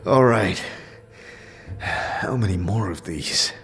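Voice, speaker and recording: An actor playing a battered, weary but determined man in an audio drama, with a gruff voice and an exasperated, groaning manner. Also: on the low side, expressive, slow pace, fairly bright tone, heavily acted. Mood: pained, exasperated.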